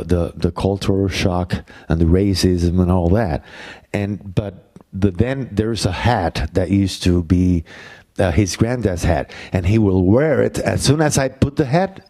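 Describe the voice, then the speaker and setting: A middle-aged man with a Puerto Rican accent, a playwright answering audience questions on a university stage into a handheld mic, halting, with many fillers. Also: low voice, warm tone. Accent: Puerto Rican Spanish. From Puerto Rico. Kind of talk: Q&A talk after a play.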